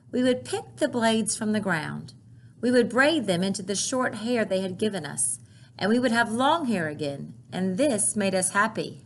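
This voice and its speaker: A woman voicing a gentle older grandmother who recalls her childhood in a picture book, measured and earnest. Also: mid-range voice, slight background noise.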